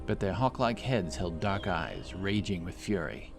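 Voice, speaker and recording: A man delivers scripted fantasy narration in a lowish voice. He tells the story in a dramatic storyteller's style. Music plays clearly behind the words. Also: big pitch swings, unhurried.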